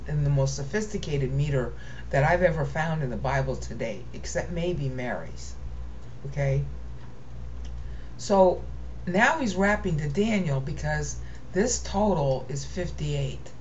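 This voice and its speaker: Low-voiced American woman thinking aloud. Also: darkish tone. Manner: thinking aloud, rambling, frequent "okay?" checks. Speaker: Bible teacher. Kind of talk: screen-share lecture. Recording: dull lo-fi home mic with faint hiss.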